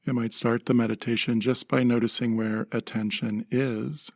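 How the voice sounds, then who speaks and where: A man leading a guided meditation in a calm, gentle manner, in short measured phrases with pauses. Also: medium pitch, gravelly, no room sound, studio quality.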